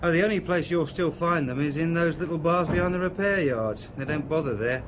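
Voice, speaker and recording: A man's voice: fairly high pitch, a little fast, background noise, close to an everyday voice. An actor playing an offhand, talkative local man in a radio play, speaking colloquially and with dry humour. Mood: helpful, joking. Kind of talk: radio drama acting.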